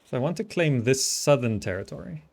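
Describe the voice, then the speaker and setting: A man's voice: medium pitch, expressive, brisk, creaky, a little mellow. A man giving casual live commentary as he streams a strategy game, thinking aloud as he plays. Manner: thinking aloud while playing.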